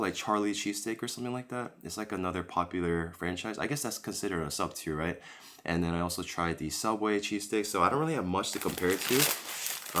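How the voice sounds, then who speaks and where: A man's voice: low voice, fairly bright tone, slight background noise. A man reviewing a sandwich while eating it, chatting casually and recovering from a cold, recorded at home on a camera-mounted mic. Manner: chatty, rambling, leisurely tasting commentary. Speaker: food vlogger. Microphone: camera-mounted shotgun mic.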